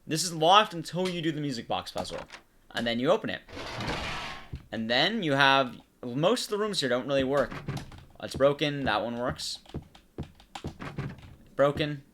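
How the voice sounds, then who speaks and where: Animated man doing game commentary. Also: medium pitch, moderate pace, long pauses, noisy background. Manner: explanatory, addressing the viewer in short phrases. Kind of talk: casual gameplay commentary.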